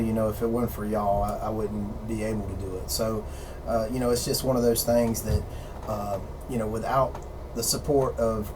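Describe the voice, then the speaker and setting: A man joking around in casual radio banter, halting and full of fillers. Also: on the low side. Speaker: radio show regular, videographer. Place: radio studio. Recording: distant mic with background noise.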